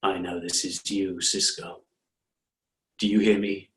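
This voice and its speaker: A middle-aged man playing a weary, exasperated doctor in a dramatic reading, with halting, stammering delivery. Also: sits low, little pitch movement, slight background noise. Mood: exasperated, despairing. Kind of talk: dramatic fan fiction reading.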